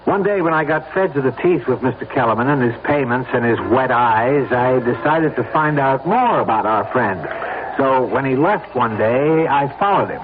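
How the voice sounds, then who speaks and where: A man's voice: medium pitch, expressive, natural voice. An American man playing a bitter, insistent victim pushing a plot in a vintage radio drama. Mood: grimly confiding. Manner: insistent, pressing, deliberate. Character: bitter, insistent male blackmail victim recruiting a murder plot. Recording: noisy narrowband old radio recording.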